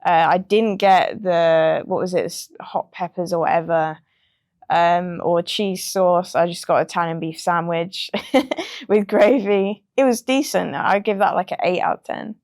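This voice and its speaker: British woman, low voice, dry sound. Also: measured pace, dry recording. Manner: relaxed, chatty, frequent ums and laughs. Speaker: music producer guest. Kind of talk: casual interview chat.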